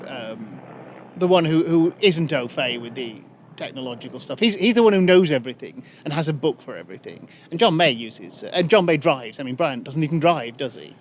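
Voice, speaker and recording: A man's voice: a little high, animated, a little fast, a little hiss or hum. A man hosting a podcast interview, hesitant and full of fillers and repeated words. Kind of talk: casual interview conversation.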